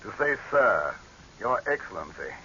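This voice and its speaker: Old hissy radio drama. Male dictator: deep, pompous, used to being obeyed, quick to irritation. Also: very animated, sharp tone, lo-fi sound. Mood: angry, alarmed. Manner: pompous, condescending, commanding. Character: pompous, imperious male dictator, coolly amused, easily irritated. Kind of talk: 1930s radio horror drama acting.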